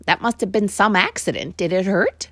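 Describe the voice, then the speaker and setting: A woman voicing an eager, curious young boy who speaks in a hushed, often whispering voice, in a storybook reading. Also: mid-range voice, normal speed, no room sound.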